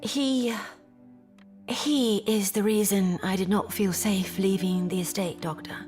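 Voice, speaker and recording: A woman's voice: medium pitch, steady tone, measured pace, darkish tone, faint music behind, lightly acted. A woman playing a polite, anxious young Victorian lady in an audio drama, with formal, earnest speech. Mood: uneasy.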